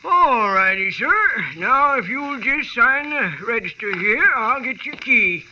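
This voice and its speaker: A man's voice: extremely high pitch, animated, a little slow, sharp tone, exaggerated voice. A man playing a sleepy old lodge night manager with a hesitant, folksy drawl in 1940s radio style. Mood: sleepy, obliging. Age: older. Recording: noisy, dull 1940s radio recording.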